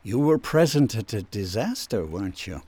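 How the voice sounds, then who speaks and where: An actor in a radio drama playing a patient, gently persistent psychiatrist questioning someone. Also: male voice, lowish voice, big pitch swings, moderate pace, breathy edge, no room sound. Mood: probing, persistent.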